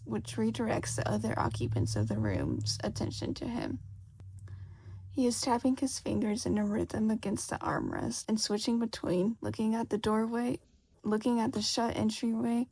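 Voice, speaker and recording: Female story narrator, highish husky voice, lively pitch movement. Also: poor audio. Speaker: fan fiction audiobook reader.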